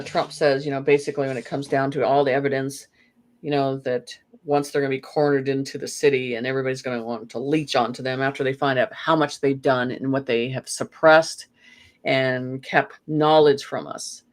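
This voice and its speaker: A guest in a casual conversation, rambling and animated, often saying "you know.". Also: medium pitch. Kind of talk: casual chat.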